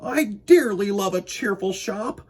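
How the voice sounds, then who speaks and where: A man voicing a fretful clock shop owner from a storybook, exclaiming in a drawn-out, dramatic way. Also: high-pitched, lively intonation, husky, voice pushed up, full character voice. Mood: contented. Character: fretful clock shop owner who loves cheerful noise.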